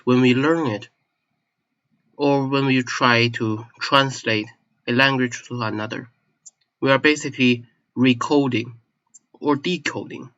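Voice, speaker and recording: A man's voice: mid-range voice, fairly bright tone. A young man, a native Chinese speaker, giving a casual explanatory monologue in a hesitant, self-correcting way. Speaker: channel host. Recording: slightly dull lo-fi recording.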